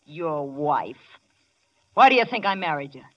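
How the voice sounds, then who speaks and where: An actress playing a scornful gold-digging wife in a 1940s radio melodrama, sneering and slangy, on an old radio recording. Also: female voice, sits low, sing-song, unhurried, a bit bright, highs cut off, exaggerated voice. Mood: contemptuous.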